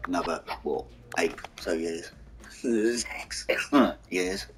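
A man with a hoarse, croaky voice, mumbling casual commentary over a strategy game and talking to its vehicles. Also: sits low, very slow, a little hiss or hum. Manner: mumbling, talks to the game's vehicles. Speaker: gaming channel host. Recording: game music behind voice.

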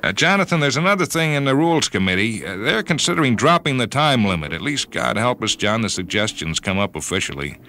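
Man voicing a hesitant old ex-athlete, pitched up. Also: sing-song, quick pace, hardly any pauses, pushed and bright. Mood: uneasy, confiding. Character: anxious, folksy older male retired player turned sports judge.

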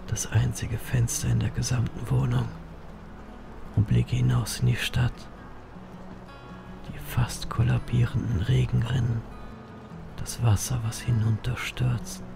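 Brooding, self-mocking grim reaper narrator, male actor, mid-pitched with heavy fry, audio drama over music. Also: flat delivery, measured pace, pauses often. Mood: weary, brooding.

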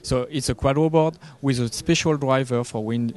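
A man, giving a product demo interview in halting English. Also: medium pitch, a little mellow. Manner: halting non-native English. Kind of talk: trade show interview. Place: trade show booth indoors. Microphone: handheld interview microphone.

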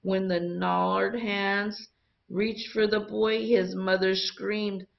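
A woman teacher reading a picture book aloud to young students in an expressive storytelling style. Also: mid-range voice, slow, creaky, highs cut off.

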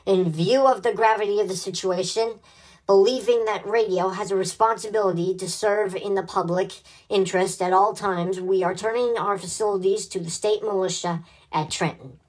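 Solemn radio-play company executive, voiced by a man, high-pitched for a male, formal official delivery. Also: steady tone, moderate pace, poor audio. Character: solemn male radio company vice president making statement.

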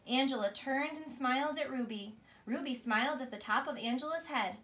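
High, bright woman reading a bedtime story. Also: normal speed. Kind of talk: storybook read-aloud to a child. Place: small bedroom, on a bed. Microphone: camera a few feet away.

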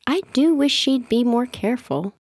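A woman voicing a gentle, sensible mother bear in a storybook, speaking in a measured, earnest way. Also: on the high side, lively intonation, husky, no echo. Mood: worried. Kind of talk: storybook read-aloud character voice.

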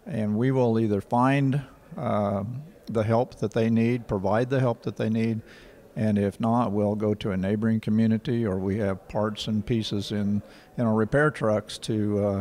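An older man, answering interview questions in a measured, factual way with frequent pauses. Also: lowish voice, a little mellow. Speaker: rural water association director. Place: indoor office in front of a painting. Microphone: handheld station mic.

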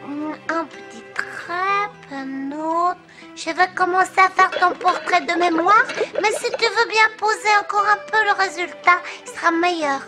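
Cartoon chick boy: very high, childlike, whiny and eager, music underneath. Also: a little slow. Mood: busy, content. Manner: whiny, plaintive, exclaiming. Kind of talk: French cartoon dub acting. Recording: music behind the voice.

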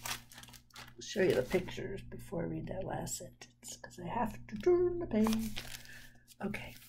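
An older woman in her sixties reads a children's book aloud as a storyteller, expressive and deliberate. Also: medium pitch, vocal fry, slight background noise. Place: small room at home.

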